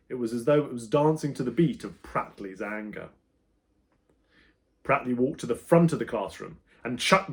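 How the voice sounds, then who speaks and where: A man reading his own children's book aloud as an expressive storyteller, with dramatic emphasis that moves between loud and hushed. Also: medium pitch.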